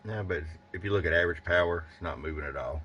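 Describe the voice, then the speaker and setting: A man's voice: deep voice, quick pace, a bit bright, highs cut off. A man giving a casual bench review of gear, plain-spoken and thinking aloud as he goes. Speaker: product reviewer. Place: indoor electronics workbench. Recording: faint background noise.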